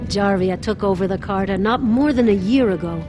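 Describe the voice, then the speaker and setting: A woman's voice: medium pitch, normal speed, over music, natural voice. An actress playing a wily, crippled old beggar woman with a wheedling, streetwise manner in a fantasy game. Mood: wary, knowing.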